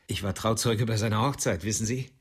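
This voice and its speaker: Radio play: a blunt bar owner, low husky voice, faint music behind. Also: male voice, steady tone, fairly quick. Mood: agitated.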